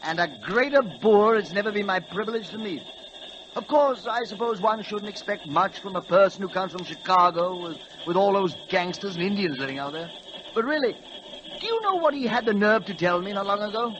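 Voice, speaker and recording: A snobbish royal express train, speaking in a high, swooping upper-class English voice. He is fussy and pompous in his complaints, in the manner of old radio acting. The broadcast is audibly noisy. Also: expressive, brisk. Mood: disdainful. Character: snobbish British royal express train, haughty, peevish, bored. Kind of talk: 1940s radio play acting.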